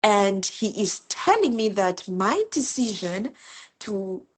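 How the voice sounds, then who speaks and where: A woman from Zimbabwe talks about homeschooling her son, her voice at an ordinary middle pitch. She tells her stories earnestly and with feeling, sometimes repeating words as she goes. It sounds like relaxed interview conversation. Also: takes it slowly. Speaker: guest homeschooling mother. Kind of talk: casual interview talk.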